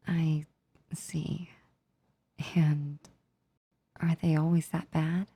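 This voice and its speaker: A woman voicing a gentle, affectionate demon in an ASMR roleplay, soothing and tender, with a soft, breathy, near-whispered delivery. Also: sits low, dry recording, full character voice. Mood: tender, sympathetic. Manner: slow, soothing, tender, unhurried. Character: gentle, comforting female sleep paralysis demon, affectionate and reassuring.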